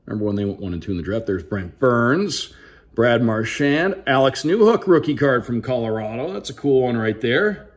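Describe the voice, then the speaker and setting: Man with lively, animated unboxing commentary. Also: mid-range voice, moderate pace. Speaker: radio sports play-by-play broadcaster. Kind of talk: casual unboxing commentary, reading out names.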